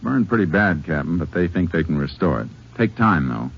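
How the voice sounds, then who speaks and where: An actor with an American accent playing a calm, methodical, laconic Texas Ranger in a 1950s radio western. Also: male voice, low voice, a little fast, creaky, husky, exaggerated voice. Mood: businesslike. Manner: laconic, matter-of-fact. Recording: noisy, dull 1950s radio recording.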